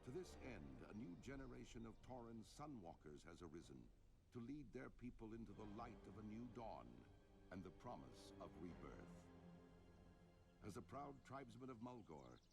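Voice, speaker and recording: A man playing a solemn, dramatic storyteller, narrating a fantasy game intro with deliberate delivery. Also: lowish voice, sing-song, gravelly, music in the background. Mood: solemn, hopeful.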